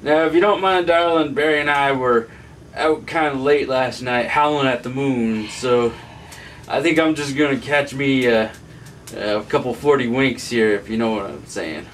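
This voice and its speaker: A man's voice: mid-range voice, moderate pace, fairly bright tone, lightly acted. A man acting a folksy, hard-drinking good old boy who talks in a hesitant, roundabout way in a comedy sketch. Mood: folksy, coy. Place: small indoor room, camera mic. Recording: distant camera mic, background room noise.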